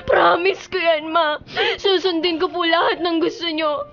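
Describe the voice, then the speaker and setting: An actress playing a remorseful young student daughter in a Filipino radio drama, pleading earnestly and emotionally. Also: female voice, very high-pitched, steady tone, a little slow, fairly bright tone, highs cut off, slight background noise, full character voice. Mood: tearful pleading. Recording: music under the voice.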